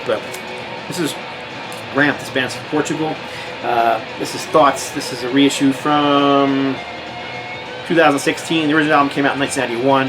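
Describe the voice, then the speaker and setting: A man's voice: mid-range voice, poor audio. Rambling American man over thrash music. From United States. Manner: rambling, relaxed, many fillers and restarts. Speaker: metal record collector vlogger. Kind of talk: casual record show-and-tell.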